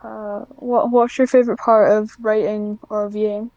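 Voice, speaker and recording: A woman's voice: medium pitch, little pitch movement, moderate pace, a little mellow, no room sound, dull audio. A Scottish woman hosting a casual podcast interview and chatting with her guest.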